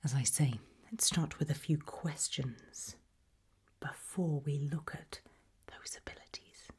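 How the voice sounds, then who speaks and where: A British woman doing an ASMR roleplay in a breathy whisper, putting soft questions to the listener with deliberate pauses. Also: low-pitched. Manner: slow, deliberate, with long pauses.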